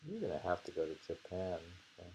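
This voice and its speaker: A man chatting casually and reading short poems aloud, musing and pausing between thoughts. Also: deep voice, huge pitch swings, a little mellow, slight background noise, poor audio. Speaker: poetry reader and discusser. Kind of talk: casual chat with slow poem reading.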